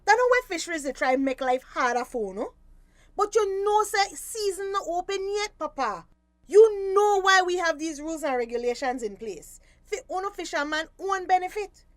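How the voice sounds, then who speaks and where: Firm woman fisheries officer, Belizean Creole, high voice, dry close sound, admonishing but fair. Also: lively intonation, normal speed, no echo. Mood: stern lecturing. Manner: stern, admonishing, lecturing. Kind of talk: radio drama acting.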